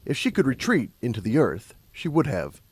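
A man reading scripted fiction aloud as an expressive story narrator. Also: medium pitch, moderate pace, breathy edge, no echo.

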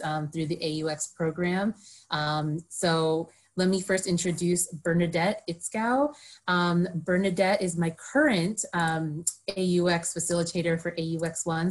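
Woman hosting a video-call event, lower voice. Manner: hesitant, lots of ums, friendly. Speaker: program coordinator introducing the event. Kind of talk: event introduction.

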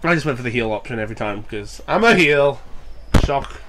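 A man's voice: mid-range voice, animated, fairly quick, background noise. A young man with a cold, sounding nasal, casually commenting on a wrestling game with jokes and swearing, reading lines aloud. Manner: joking, swearing, bursts into loud mock cheers. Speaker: gaming YouTuber.

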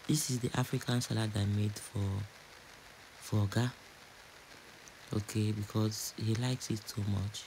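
Nigerian man narrating over clear background noise. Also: medium pitch. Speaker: home cooking vlogger. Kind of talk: casual cooking vlog narration.

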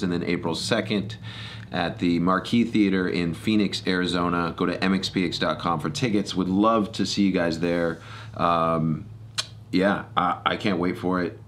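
A man's voice: sits low, a bit bright, a little hiss or hum. A middle-aged American man hosting a podcast, chatting casually and rambling as he corrects himself. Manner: rambling, self-correcting storytelling. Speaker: podcast host. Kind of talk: casual solo podcast chat. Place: small home studio room. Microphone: close boom-arm podcast microphone.

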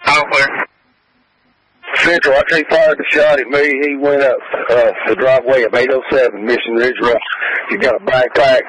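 A man's voice: medium pitch, steady tone, normal speed. A male police officer in the field talking in terse, procedural radio traffic over a police scanner.

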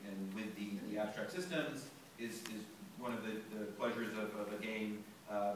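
A low-voiced man on a panel works through his answer slowly, full of fillers and restarts. He sounds distant, caught from the audience by a phone in a large, echoing lecture hall. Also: a bit bright, a little hiss or hum. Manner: thoughtful, many fillers and restarts. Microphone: phone recording from the audience. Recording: echoey hall from far off.

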